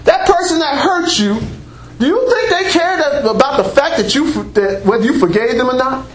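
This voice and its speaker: A man preaching and teaching the Bible to a congregation without a microphone, speaking loudly and emphatically and breaking in with exclamations of praise. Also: extremely high pitch, expressive, moderate pace, slight background noise. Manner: loud and emphatic, with call-and-response exclamations like praise and amen. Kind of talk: sermon-style teaching to a congregation. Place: church meeting room before a congregation. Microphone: unamplified voice picked up by a room mic. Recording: echoey room recording.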